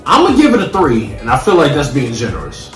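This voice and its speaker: A man's voice: medium pitch, wildly swooping pitch, fairly quick. An American man in his thirties chatting casually and emphatically in slang as he reviews food on camera. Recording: roomy home recording with faint music.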